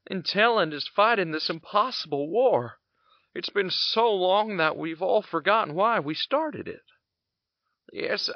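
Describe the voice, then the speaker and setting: An actor playing a gentle, tired soldier father in an audio drama, speaking wistfully and haltingly as he longs for home. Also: high voice, no room sound, highs cut off. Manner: wistful, halting, stammering delivery.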